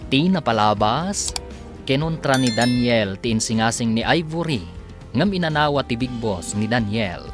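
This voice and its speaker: A male announcer with a Filipino accent narrates a radio serial. His medium-pitched voice is highly animated and theatrical in its swings. Music plays clearly behind him. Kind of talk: dramatic scripted radio narration.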